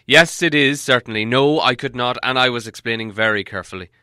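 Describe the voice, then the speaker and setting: A man's voice: mid-range voice, brisk, sharp tone, smooth, no echo, clean studio sound, lightly acted. Man doing a cross, fussy old wizard. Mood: warning.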